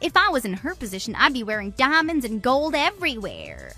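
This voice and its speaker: A woman's voice: high voice, wildly swooping pitch, fairly quick, sharp tone, faint music behind, full character voice. A woman playing a greedy, haughty, easily shocked mistress in a scripted audio drama. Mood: shocked, incredulous. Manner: haughty, gloating, incredulous. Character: smug, greedy, gold-digging young woman, easily shocked.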